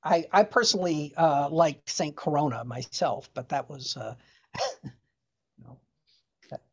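A woman explaining something casually in a hesitant, halting way. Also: low voice, animated, slightly rough. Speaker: panelist. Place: indoor room, video call. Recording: dull video-call sound.

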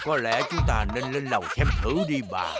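Genial old grandfather pig, preschool cartoon, male actor; medium pitch, expressive intonation. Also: brisk, natural voice. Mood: puzzled. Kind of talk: dubbed preschool cartoon acting. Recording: music behind voice.

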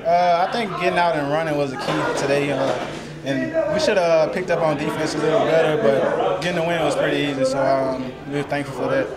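A man's voice: fairly high pitch, brisk. A young man of about twenty, a college basketball player, answering in a postgame interview. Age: late teens or around twenty. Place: indoors before a team backdrop. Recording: loud crowd noise behind.

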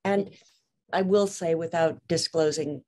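Lower-voiced woman, careful and precise. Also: fairly even intonation, a little slow, mellow, a little hiss or hum. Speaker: interviewed author and lawyer. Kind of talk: conversational interview.

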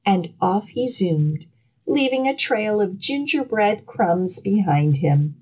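A woman reads a children's picture book with lots of rise and fall. Her delivery is unhurried and dramatic, and her voice has a slightly husky edge. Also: mid-range voice, expressive, darkish tone, rough recording. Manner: deliberate, dramatic storytelling. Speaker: children's librarian, storytime reader. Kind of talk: expressive picture-book reading.

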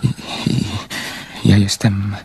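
A shy, stammering young dreamer. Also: male voice, on the low side, flat delivery, vocal fry, dark tone, a little hiss or hum, low-quality recording. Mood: nervous, shy. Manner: hesitant, stammering, halting. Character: shy, lonely, romantic young male dreamer. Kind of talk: radio drama acting. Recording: music playing under the radio play.

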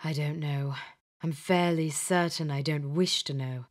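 A woman voicing a reserved, formal, old-fashioned gentleman who speaks quietly. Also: steady tone, a little slow. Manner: formal, quiet, low-key.

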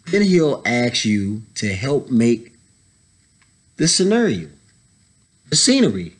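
A man in his thirties reading a children's picture book aloud, pausing to add casual explanatory asides for young listeners. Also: mid-range voice, big pitch swings. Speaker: story time reader, educator. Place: indoors, school library.

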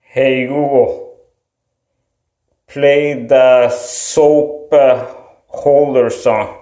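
A man in a small bathroom, slowly and flatly giving voice commands. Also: medium pitch. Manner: slow, flat, deliberate commands. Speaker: video creator. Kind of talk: repeated voice commands. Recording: echoey bathroom.

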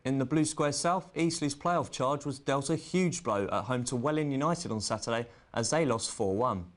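A man's voice: medium pitch, normal speed. Man reading a scripted sports bulletin. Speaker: sports news presenter.

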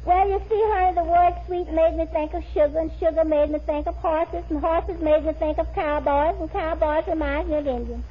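Naive, scatterbrained sidekick: tiny sky-high voice, cheerful, 1950s sitcom, faint hiss. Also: female voice, steady tone, brisk, few pauses. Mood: naively puzzled. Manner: naive, guileless comic delivery. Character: scatterbrained, cheerful woman friend, proud of her muddled logic. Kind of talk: 1950s radio sitcom acting. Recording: muffled, noisy 1950s radio recording.

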